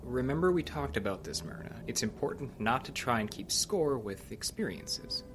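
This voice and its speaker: A man playing a concerned, fatherly support group facilitator who speaks gently and earnestly. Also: medium pitch, sing-song, moderate pace, noisy background, lightly acted.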